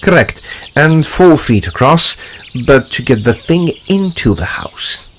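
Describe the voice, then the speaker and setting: A man playing a courteous, precise gentleman ghost hunter, telling his gothic tale in a formal, deliberate, expressive way. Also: a little high, a little hiss or hum, full character voice. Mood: courteous, brisk. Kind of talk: dramatised gothic storytelling.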